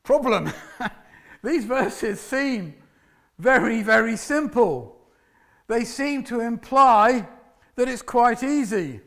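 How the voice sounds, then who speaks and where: A man preaching a sermon with a loud, booming voice, pacing about and sometimes dropping to a soft prayerful tone. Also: lively intonation, slow, pauses often. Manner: drops to soft hushed prayer voice.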